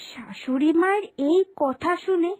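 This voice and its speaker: A woman's voice: extremely high pitch, unhurried, a little mellow. A woman reading scripted narration for a children's cartoon story.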